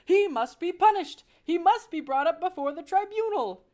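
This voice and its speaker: A woman voicing an old male mouse father who shouts in melodramatic outbursts. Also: extremely high pitch, lively intonation, normal speed, pitched above the performer's own voice. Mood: furious.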